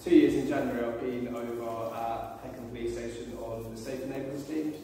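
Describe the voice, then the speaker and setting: Self-deprecating young officer, echoey. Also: male voice, mid-range voice, fairly even intonation, fairly quick, mellow, slight background noise. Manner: light self-deprecating humor. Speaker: police officer. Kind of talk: introducing himself at a community meeting. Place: large echoey meeting room. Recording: echoey room recorded from far off.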